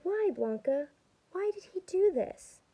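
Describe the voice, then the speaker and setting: A woman's voice: extremely high pitch, very animated, takes it slowly, highs cut off, voice pushed up, heavily acted. A woman voicing an earnest, worried little girl in a storybook, plaintive and questioning. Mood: upset, wondering.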